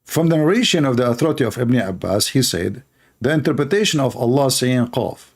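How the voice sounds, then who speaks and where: A man's voice: mid-range voice, lively intonation, a little fast, creaky, husky. A man whose first language is Arabic, giving sarcastic, mocking commentary and laughing often. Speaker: online debater.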